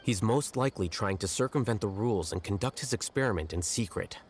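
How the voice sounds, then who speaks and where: A man's voice: lowish voice, a little hiss or hum, natural voice. A man voicing a dry, aloof scholar. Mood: cool. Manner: dry, measured, condescending. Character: aloof, blunt male scholar and scribe. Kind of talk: game voice acting.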